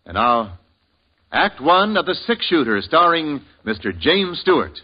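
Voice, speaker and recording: A man's voice: a little high, very animated, unhurried. A man announcing and narrating in formal 1950s radio style on a lo-fi recording with music. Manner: formal, declaiming.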